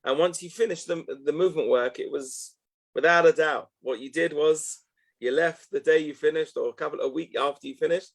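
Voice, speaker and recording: A man's voice: a little high, a little fast. A man, answering interview questions in a reflective, loosely phrased way with frequent "okay" asides. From North London, England. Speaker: guest, educational tour organizer.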